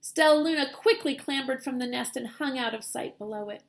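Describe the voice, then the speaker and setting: A woman teacher reading a picture book aloud to children in an expressive, dramatic storytelling style. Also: high-pitched, a little fast, gravelly.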